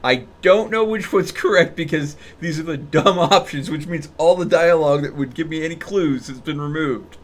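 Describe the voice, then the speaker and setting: A man's voice: fairly high pitch, moderate pace, few pauses. A man live-streaming a game, chatting casually with viewers and thinking aloud.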